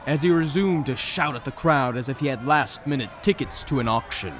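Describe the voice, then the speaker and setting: A man's voice: mid-range voice, brisk, fairly bright tone, a little hiss or hum. A man narrating a scripted fantasy audio drama in a dramatic storytelling style. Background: music.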